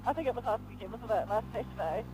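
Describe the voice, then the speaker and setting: A woman's voice: a little high, fast, poor audio. A woman telling funny stories in casual chat, giggling often. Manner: giggly, animated storytelling. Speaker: vlogger. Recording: road noise behind.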